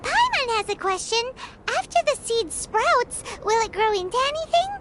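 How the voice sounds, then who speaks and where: Very squeaky tiny fairy girl. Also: very animated, normal speed, a bit bright, a little hiss or hum, full character voice. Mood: curious, miffed. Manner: squeaky, refers to herself in third person. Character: tiny childlike fairy girl companion, perky and easily miffed. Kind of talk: cartoonish video game voice acting.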